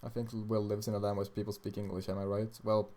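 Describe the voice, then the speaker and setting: A man's voice: on the low side, level tone, fast, a little mellow. A young man from Sweden, a native Swedish speaker, chatting casually on a livestream, blunt, profane and tired as he answers questions. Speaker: streamer answering chat questions.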